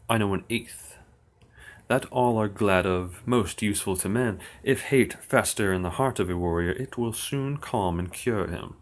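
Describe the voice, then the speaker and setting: A man reciting old verse slowly and steadily on his livestream, with dry asides. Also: fairly low pitch. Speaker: occult streamer. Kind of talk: reading verse aloud on a livestream.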